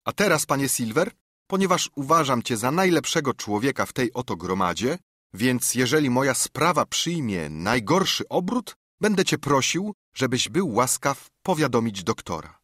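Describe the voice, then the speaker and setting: A man's voice: medium pitch, big pitch swings, normal speed, a bit bright, dry recording, clean studio sound, pitched above the performer's own voice. A man voicing a brave, defiant boy who speaks boldly and declaims to pirates in a storybook reading. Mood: earnest. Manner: bold, declamatory. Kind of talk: audiobook character acting.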